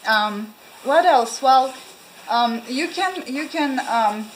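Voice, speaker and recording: A woman's voice: high-pitched, expressive, slow, a little hiss or hum, low-quality recording. A young woman from Ukraine with a Russian accent, chatting casually to camera at home, hesitant with many ums and restarts. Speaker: vlogger. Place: small room at home, indoors.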